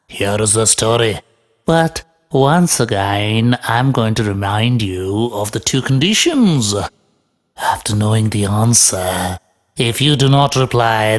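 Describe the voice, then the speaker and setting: A man's voice: medium pitch, fairly bright tone. A man voicing a sly, mocking cartoon ghost who declaims riddles in a taunting, theatrical way.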